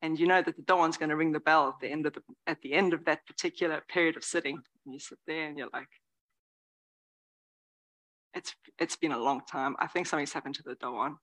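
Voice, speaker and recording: A woman in her forties giving a lively, conversational dharma talk as a Zen teacher, dropping now and then into soft whispered asides. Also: deep voice, fairly quick, low-quality recording. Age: 40s. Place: meditation hall before a live audience.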